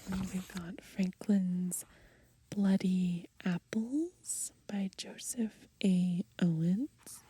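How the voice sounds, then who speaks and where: A young woman softly whispering in a gentle ASMR style as she browses and reads from a book outdoors. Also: fairly low pitch, extremely slow. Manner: soft, gentle, breathy whispering. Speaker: ASMR creator. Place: outdoors in the grass.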